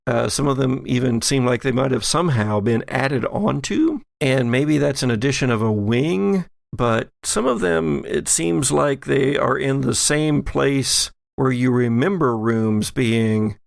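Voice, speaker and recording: A man narrating a tabletop horror game as game master, in a measured, halting storytelling manner. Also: medium pitch, creaky, no room sound, studio quality.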